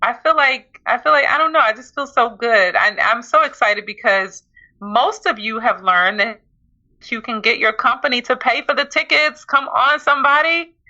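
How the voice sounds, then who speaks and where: A woman's voice: a little high, moderate pace, bright, forceful tone, muffled recording. A woman co-hosting a podcast in casual chat, playful and teasing with an upbeat, promoting energy. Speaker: podcast co-host.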